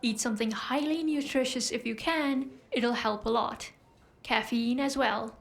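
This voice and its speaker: A woman plays a composed female doctor in a noir audio drama. Her voice sits a little high, and she talks in a measured, knowing manner with an insinuating, teasing edge. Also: vocal fry. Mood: clinical, advising.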